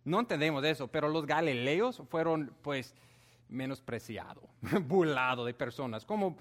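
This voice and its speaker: A man with a somewhat high voice teaches from the Bible in a relaxed sermon. His delivery is animated and conversational, and he often hesitates and restarts while explaining. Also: normal speed. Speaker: preacher.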